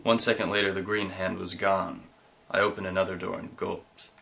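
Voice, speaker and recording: A man with a lowish, sharp-edged voice reads a comic story aloud. He is animated, often breaks into giggles and sudden yelps, and there is a faint hiss behind him. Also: normal speed, gravelly. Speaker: reader.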